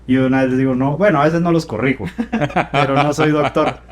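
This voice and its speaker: A man from Guatemala with a Guatemalan accent, an economist guest speaking calmly and matter-of-factly. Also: a little high, a little fast. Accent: Guatemalan Spanish. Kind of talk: podcast interview.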